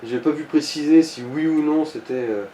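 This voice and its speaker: A man with a mellow voice talks casually through a video game, explaining and joking as he goes. The room echoes around him and there is some faint noise. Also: on the high side, moderate pace. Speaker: let's play co-commentator. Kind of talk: casual gaming chat. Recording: roomy echo, background noise.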